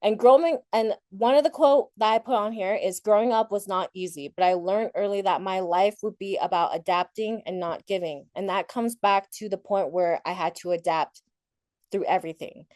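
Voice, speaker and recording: A woman's voice: mid-range voice, moderate pace, a little mellow, no echo. A woman from Thailand whose first language is Thai, giving an informal, candid motivational talk about herself in a reflective, conversational way, often rephrasing as she goes. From Thailand, later moved to the United States. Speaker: conference speaker sharing personal story.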